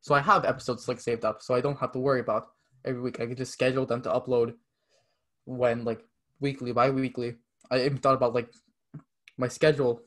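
A man's voice: medium pitch, level tone, fast talker, a bit bright. Young canadian guy rambling on a podcast, lots of likes, chill casual chat. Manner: rambling, many "like" fillers, acts out quoted texts. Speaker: podcast guest.

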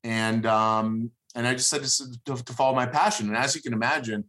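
A man in his thirties, a native Hebrew speaker from Israel, talking as an interview guest in a thoughtful, halting way with many fillers. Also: mid-range voice, steady tone, pushed and bright. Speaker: guest, moving company businessman. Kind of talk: casual podcast interview.

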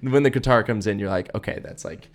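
Young dude podcast cohost, lowish voice, rambly and chill, lots of likes and ums. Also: male voice, fast talker. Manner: laid-back, rambling with many fillers. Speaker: podcast co-host. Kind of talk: casual chat.

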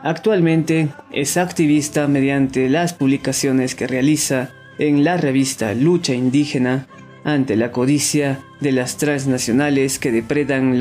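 A man presents a podcast in a scripted style. His voice is soft and mellow at a medium pitch, with guitar music faintly behind. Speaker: podcast host. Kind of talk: scripted introduction.

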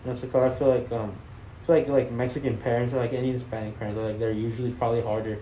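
A man's voice: medium pitch, little pitch movement, very fast. A young man co-hosting a casual podcast, rambling in slangy talk and leaning on stock filler phrases. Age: young adult. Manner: rambling, leans on filler "what's it called". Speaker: podcast co-host. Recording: echoey, slightly muffled, faint noise.

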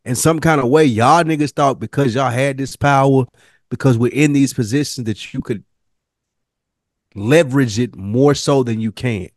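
Male podcast co-host, mid-pitched, dry recording, slangy and emphatic, repeats himself for stress. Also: moderate pace, darkish tone, no room sound. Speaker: podcast co-host reviewing a TV drama. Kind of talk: casual chat.

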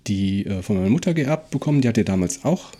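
A man co-hosting a podcast in casual discussion, speaking in a halting, reflective way and repeating words. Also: lowish voice, expressive, warm tone. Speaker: podcast co-host.

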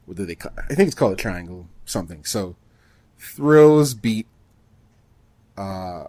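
Low-voiced man chatting about games, close dry sound. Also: expressive, measured pace, warm tone, no room sound. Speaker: podcast co-host. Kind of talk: casual gaming podcast chat.

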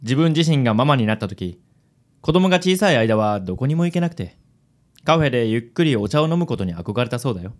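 An actor playing a suave, gallant ladies' man in a radio drama, smooth and theatrical, dropping flowery English words. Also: mid-range voice, big pitch swings, fast talker, darkish tone, natural voice. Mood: suave, gentle. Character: suave, gallant playboy man nicknamed Handsome.

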